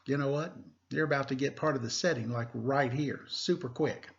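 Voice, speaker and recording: A man speaking as an elementary teacher, reading aloud to pupils with deliberate emphasis and informal asides. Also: medium pitch, fairly even intonation, creaky, dull audio. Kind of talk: read-aloud lesson with casual asides.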